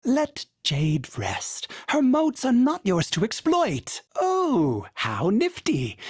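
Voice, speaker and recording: A man's voice: high-pitched, slightly rough, no room sound, studio quality, heavily acted. A man voicing a fussy, polite male ship AI in a video game, prim and formal and referring to itself in the third person. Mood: helpful.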